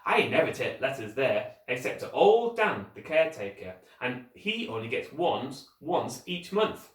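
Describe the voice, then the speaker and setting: A man's voice: mid-range voice, very animated, unhurried. A man voicing a grumpy, sarcastic postman in a storybook, scornful and impatient with children. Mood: grudging. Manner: scornful, emphatic. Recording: roomy echo, distant microphone.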